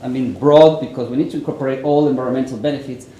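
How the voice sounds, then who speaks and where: A man's voice: medium pitch, fairly even intonation, quick pace, warm tone. A man from Chile with a Chilean Spanish accent, a native Spanish speaker presenting a research project at a conference, talking in a conversational way with frequent "right?" and "actually". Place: large echoey conference room. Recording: echoey hall with faint noise.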